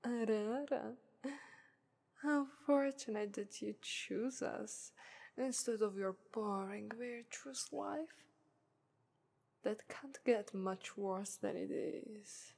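A woman's voice: fairly high pitch, slow, gravelly, pauses often, a little mellow, heavily acted. A woman voicing a confident, haughty female demon of lust in an ASMR roleplay, whispering and teasing with an Italian accent. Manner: whispery, teasing, purring "ara ara".

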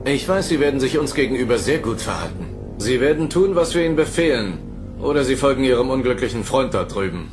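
A man's voice: mid-range voice, very animated, fast talker, music in the background, lightly acted. A man playing a cold, impatient alien commander in a sci-fi radio drama, speaking in a stern, formal, commanding way. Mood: threatening. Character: cold, impatient male alien commander studying captured humans.

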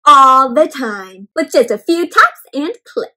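A woman voicing a smug, comfort-loving male couch potato from a storybook, boasting in drawn-out exclamations about his gadgets. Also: high-pitched, expressive, bright, forceful tone, exaggerated voice. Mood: contented, smug. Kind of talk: storybook character reading.

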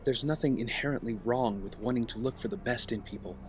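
An actor playing an earnest, guarded young vigilante in an audio drama, speaking in a reserved, terse way. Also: male voice, mid-range voice, fairly quick, a little hiss or hum, natural voice. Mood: guarded, courteous. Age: young adult.

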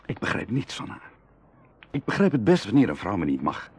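Deep-voiced, lovesick aristocratic bachelor. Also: male voice, huge pitch swings, fairly quick, breathy edge, exaggerated voice. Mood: frustrated. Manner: emotional, dramatic, shifting from hushed to outbursts. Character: lovesick, proud, hard-up aristocratic bachelor, confiding and passionate. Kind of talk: Dutch radio drama acting.

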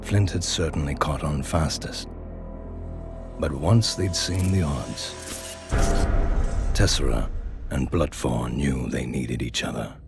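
Very deep, ominous male storyteller. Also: slow, vocal fry, pauses often, exaggerated voice. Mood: ominous, measured. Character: grim male storyteller of underworld gang wars. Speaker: narrator. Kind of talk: scripted dramatic game narration. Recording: music behind the voice.

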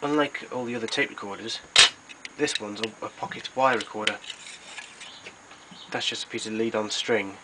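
A man with a fairly low voice narrates an informal product demonstration. He explains things plainly and a little haltingly, like a hobbyist collector. The amateur recording has noticeable noise behind him. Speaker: collector presenting his tape recorders.

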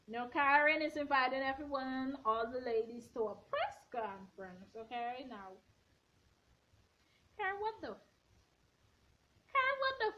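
A young woman in her twenties, slightly hoarse, giving a blunt, sassy recap of a reality show from her bedroom, filmed on a front camera at arm's length. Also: high voice, measured pace, long pauses. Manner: sassy, blunt, animated hand gestures. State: a bit hoarse. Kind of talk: casual opinionated recap. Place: bedroom at home, indoors. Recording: dull lo-fi webcam audio.

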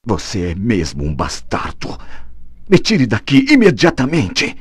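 Bitter worked-up professor in a game dub, raspy, pitch all over, dry sound. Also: male voice, wildly swooping pitch, measured pace, dry recording, exaggerated voice. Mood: agitated. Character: agitated, resentful male professor, pleading and bitter. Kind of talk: dubbed video game acting.

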